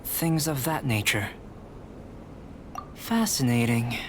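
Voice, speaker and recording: An actor playing a calm, curious young alchemist in a video game, speaking in a measured, politely analytical and detached manner. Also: male voice, mid-range voice, noisy background. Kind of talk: video game voice acting, scripted dialogue. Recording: loud background music behind dialogue.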